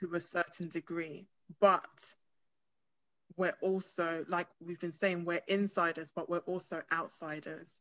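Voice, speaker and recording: A woman's voice: on the low side, flat delivery, measured pace, fairly bright tone, no room sound. A woman who grew up in Britain with Ghanaian roots, a clinician talking casually and thoughtfully with many fillers and restarts. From Ghanaian family, grew up in the UK. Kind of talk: casual podcast conversation.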